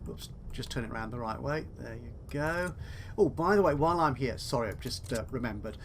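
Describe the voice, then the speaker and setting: A man's voice: medium pitch, lively intonation, fairly quick, noisy background. A man giving casual let's play commentary, chatty and thinking aloud as he goes. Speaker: gaming video host.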